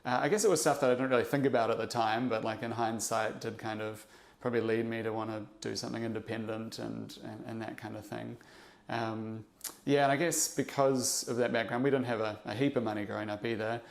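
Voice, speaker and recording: A young man from New Zealand with a New Zealand accent, a podcast guest talking in a reflective, halting way with many fillers and restarts. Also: medium pitch, fairly even intonation, creaky. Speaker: interview guest, investor. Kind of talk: casual podcast interview.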